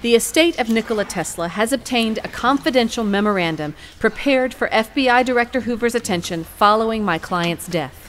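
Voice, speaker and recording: A woman playing a determined attorney in an audio courtroom drama, speaking formally and deliberately. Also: fairly high pitch, expressive, a little hiss or hum, natural voice. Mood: formal, firm. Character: poised, determined female attorney arguing in court.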